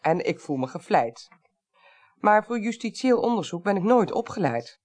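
A woman's voice: medium pitch, sing-song, moderate pace, darkish tone. An actress playing a commanding senior female parliamentary clerk in a 1980s radio drama, formal, decisive and dryly wry. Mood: composed, wry.

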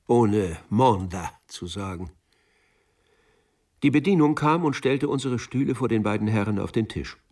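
A male narrator tells a first-person story for a radio play. He speaks slowly and dryly in a creaky voice, set slightly back from the microphone. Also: lowish voice, darkish tone, far from the mic.

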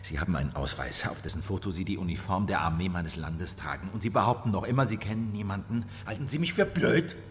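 An actor playing a stern, accusing government interrogator in a radio drama, hard, pressing and commanding. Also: male voice, deep voice, lively intonation, fast talker, hardly any pauses, soft background music. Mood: accusing, insistent. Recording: reverberant interrogation room.

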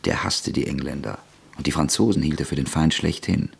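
Very deep gravelly male narrator. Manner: measured, sober storytelling. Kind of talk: scripted radio drama narration.

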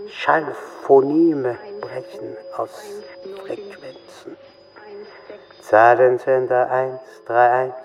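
A man performing playful, cryptic nonsense song verses in a radio play, with a measured, rhythmic delivery. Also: mid-range voice, vocal fry, poor audio.

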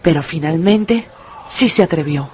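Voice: mid-range voice, expressive, unhurried, darkish tone, a little hiss or hum, natural voice. A narrator reading a scripted, dramatized story for a radio drama.

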